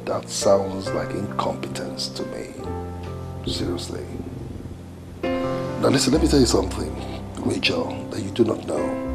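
A low voice with big swings carries a troubled Nigerian husband in a film drama. He speaks emphatically and deliberately, repeating himself. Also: exaggerated voice. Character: agitated, dramatic husband worried for his brother. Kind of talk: Nollywood domestic film drama.

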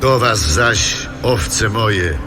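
An actor playing God as a solemn, protective shepherd, reciting scripture in a declamatory way for an audio Bible drama. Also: male voice, on the low side, expressive, drawn-out words. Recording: loud music bed under voice.

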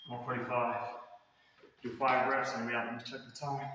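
A man's voice: medium pitch, normal speed, very echoey, big-room sound, highs cut off, heavy background noise. A man in his thirties casually vlogging his workout, muttering to himself between sets in a large empty indoor gym, recorded by a camera far across the room. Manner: relaxed, muttering to himself between sets, often quiet and half-whispered. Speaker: fitness vlogger.

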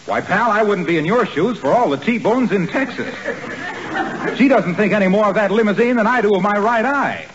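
A man playing a genial announcer in a 1940s radio sitcom, with a smooth salesman's delivery that slides into product pitches. The sound is a dull old radio broadcast with hiss. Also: expressive, moderate pace, a faint crowd. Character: genial announcer, a pal who dodges trouble. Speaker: show announcer.

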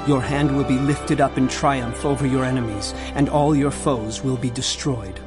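A man's voice: mid-range voice, steady tone, normal speed, natural voice. An actor playing an earnest male prophet in a dramatized audio Bible, declaiming solemnly to his people. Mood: solemn, proclaiming. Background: dramatic music.

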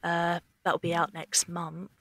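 A woman's voice: lowish voice, completely flat delivery, slow, fairly bright tone, dry recording. A woman talking casually about her work as a writer and actor. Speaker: scriptwriter and actor. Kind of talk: casual behind-the-scenes chat.

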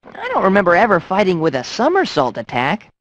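A woman playing a cocky, adventurous boy hero in a cartoon game, with a husky, bold, breezy delivery. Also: on the low side, huge pitch swings, brisk, no room sound. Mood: brisk, upbeat. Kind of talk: cartoon video game acting.

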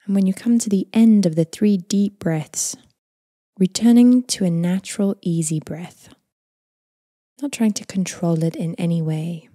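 Female meditation guide, breathy near-whisper, calm unhurried delivery, very dry close recording. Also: on the low side, no echo. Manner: gentle, unhurried, calming delivery with long phrases trailing off. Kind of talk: guided body scan meditation, slow and soothing.

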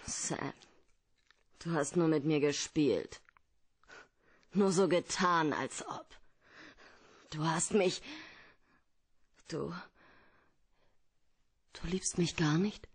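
An emotional femme fatale in a radio drama, lowish voice, pleading, really dry sound. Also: female voice, drawn-out words, pauses often, breathy edge, no room sound, heavily acted. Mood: hurt. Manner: emotional, reproachful. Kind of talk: radio play acting.

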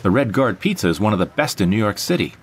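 A man's voice: on the low side, sing-song, quick pace, slight background noise. A man playing a hopeful, lovestruck male rebel in a sci-fi audio drama. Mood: upbeat.

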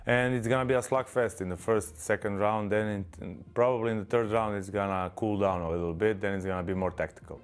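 Croatian man, measured fighter talk. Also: on the low side, steady tone, clean tone. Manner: measured, modest, hesitant with fillers. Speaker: kickboxer. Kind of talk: pre-fight interview. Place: studio with branded backdrop. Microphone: lapel.

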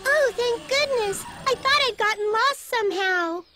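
A woman playing a gentle, polite young girl, a sweet little sister in an anime audio drama. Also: extremely high pitch, animated, a little slow, heavy background noise.

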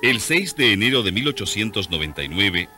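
A man's voice: on the low side, sing-song, fairly quick, noisy background. A man giving scripted narration for a radio drama.

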